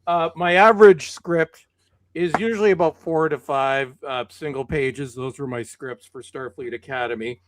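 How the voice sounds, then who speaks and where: A man with a hoarse voice from yelling, chatting casually on a stream, blunt and sweary. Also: fairly high pitch, moderate pace, background noise. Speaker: video commentator. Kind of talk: casual chat.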